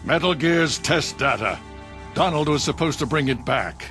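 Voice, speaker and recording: An actor with an American accent playing a cold, condescending government official in a video game cutscene, smug in manner. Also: male voice, fairly high pitch, lively intonation, moderate pace, fairly bright tone, faint music behind.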